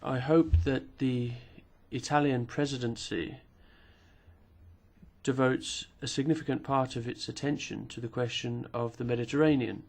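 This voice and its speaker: Guy interpreting at a committee hearing, kinda gravelly voice, formal, pauses a lot. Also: medium pitch, fairly even intonation, darkish tone. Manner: measured, formal delivery with pauses and fillers. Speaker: simultaneous interpreter. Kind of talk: interpreting parliamentary speeches.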